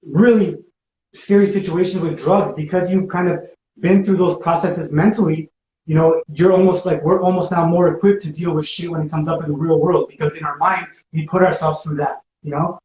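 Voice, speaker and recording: A man's voice: fairly high pitch, fairly even intonation, moderate pace, darkish tone. A man talking casually and reflectively, in informal everyday language. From spent teenage years in Ecuador. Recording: roomy echo, faint background noise.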